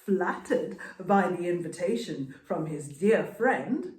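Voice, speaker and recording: A woman storyteller narrating a scripted folktale with dramatic, deliberate, expressive phrasing. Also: lowish voice, echoey room.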